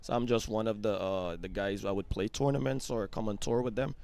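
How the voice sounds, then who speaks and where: A young man from Guyana, a cricketer guest, talking in a relaxed, halting way with fillers on a match commentary. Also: on the low side, gravelly. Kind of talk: casual interview on commentary.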